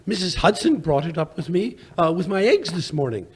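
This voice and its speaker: A man playing a flustered, bumbling English gentleman in an old-time radio drama, blustery and hesitant. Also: high voice, sing-song, a little fast, slightly rough, exaggerated voice. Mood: impatient.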